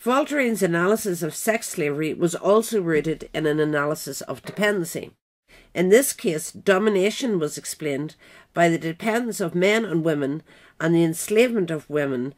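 A narrator reading a scripted essay in a measured, deliberate manner. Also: medium pitch, lively intonation. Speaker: narrator, reader.

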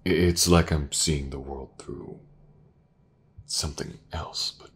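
Man playing a very deep-voiced, hesitant, gentle detective. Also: steady tone, slow pace, a little hiss or hum. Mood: hesitant, tender. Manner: soft, hesitant, often dropping to a whisper. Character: gentle, reassuring male detective with an injured eye. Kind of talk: intimate audio roleplay acting.

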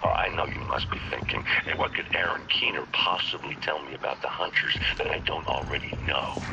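Very deep, gravelly villain. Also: male voice, dull audio, noisy background. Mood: coaxing, hushed. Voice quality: gravelly, breathy low voice. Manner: deliberate, coaxing, theatrical pauses. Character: calculating rogue agent, smooth-talking male villain. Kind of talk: video game cutscene acting.

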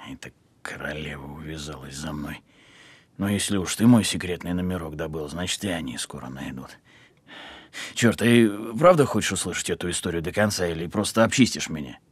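Very deep, husky tough criminal. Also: male voice, dry recording, exaggerated voice. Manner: criminal slang, sardonic bravado. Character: tough weary male criminal on the run, gruff and sardonic. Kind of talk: audio film acting.

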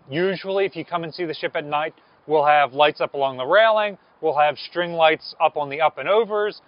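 Higher-voiced American man explaining, slightly dull sound. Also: no room sound. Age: 30s. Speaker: museum ship presenter. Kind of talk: informal explanatory talk to camera. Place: outdoors on a ship's deck. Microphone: clip-on lapel mic.

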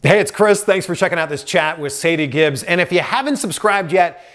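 Energetic Canadian interview host. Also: male voice, high-pitched, fairly quick. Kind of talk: casual video interview. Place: small home studio indoors. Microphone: desk microphone.

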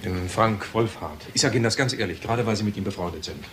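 A man acting as an insistent police boss in a radio crime play. His voice is very low yet quick, authoritative and insinuating, with faint noise behind. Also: a bit bright, close to an everyday voice. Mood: accusing. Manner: pressing, insistent.

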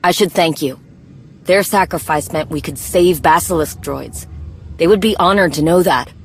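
Low-voiced, grim warrior commander. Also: female voice, moderate pace, slight background noise. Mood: somber. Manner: terse, stern, weighted delivery. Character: grim, vengeful female Mandalorian warrior commander. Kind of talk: video game dramatic acting.

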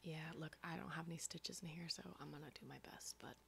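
A woman's voice: low voice, fairly even intonation, fast talker, creaky, heavy background noise. A woman playing a brash, wisecracking zombie-apocalypse survivor in a whispered ASMR horror roleplay, casual, rambling and sweary. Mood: wry, focused.

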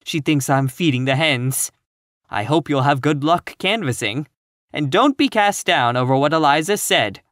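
A narrator voicing a plump, timid but cheerful middle-aged woman in a storybook, earnest and gently insistent in her optimism. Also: mid-range voice, lively intonation, moderate pace, no room sound, clean studio sound, close to an everyday voice. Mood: breathless, hopeful.

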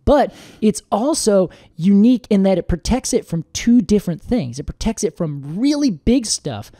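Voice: medium pitch, very animated, moderate pace, warm tone, dry recording, clean studio sound. A podcast host chatting casually and explaining things in an informal, enthusiastic way.

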